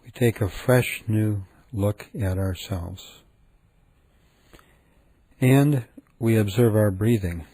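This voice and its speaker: A man leading a guided meditation in a gentle, calm, unhurried manner with frequent pauses. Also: on the low side, husky, no echo.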